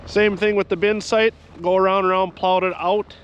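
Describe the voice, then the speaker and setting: A man's voice: high voice, flat delivery, moderate pace, smooth. A farmer with a head cold, talking casually about his chores while working outdoors and in a tractor cab. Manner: plainspoken, rambling, explains farm chores. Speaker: farmer vlogger.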